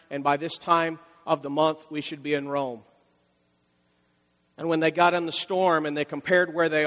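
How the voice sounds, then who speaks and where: A high-pitched man preaching from a church pulpit, speaking conversationally and circling back to repeat key phrases. His voice rises for emphasis, and a long reverb from the large hall trails behind each line. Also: a bit bright. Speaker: pastor. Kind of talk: sermon.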